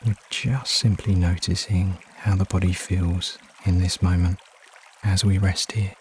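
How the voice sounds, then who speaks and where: Low-pitched man guiding a meditation. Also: a little hiss or hum. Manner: unhurried, soothing instruction with frequent pauses. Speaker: meditation guide. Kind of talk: guided meditation narration. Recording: steady water ambience behind voice. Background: trickling stream water sounds.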